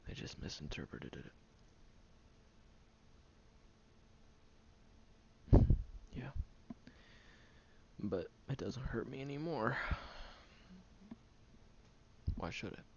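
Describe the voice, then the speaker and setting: A man playing a brash, defensive young man with a secretly caring side in an audio roleplay, speaking bluntly and haltingly in a low, gravelly voice. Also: big pitch swings. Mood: wounded, resolute. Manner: blunt, grumbling, sighing, halting delivery. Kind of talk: audio roleplay acting, one-sided dialogue addressed to the listener. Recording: dull home recording with faint noise.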